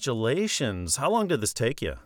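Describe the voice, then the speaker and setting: A man's voice: mid-range voice, wildly swooping pitch, brisk, no room sound, clean studio sound. A man hosting an author podcast, with a polished radio-style delivery. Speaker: podcast host. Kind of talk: interview host, scripted intro.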